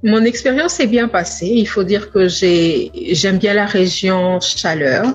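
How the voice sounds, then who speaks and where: Young Congolese woman, slightly husky, dull recording. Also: mid-range voice, unhurried. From Democratic Republic of the Congo. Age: young adult. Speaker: entrepreneur, grocery store owner. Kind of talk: personal testimonial. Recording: dull lo-fi sound, faint music.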